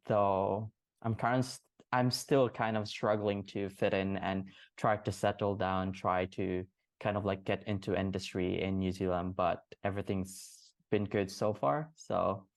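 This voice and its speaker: Young male guest from the Philippines, low monotone voice, halting and filler-heavy, dry sound. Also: no echo. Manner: nervous, halting, many fillers. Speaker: guest, film student. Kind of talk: casual interview.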